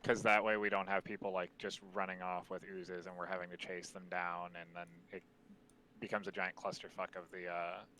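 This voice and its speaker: Streamer leading a raid, deep voice held soft, bright edge, casual fillers. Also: male voice, level tone, normal speed, slight background noise, low-quality recording. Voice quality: deep voice, speaks quietly on purpose. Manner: explaining, loose with many fillers. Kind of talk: casual gaming voice chat.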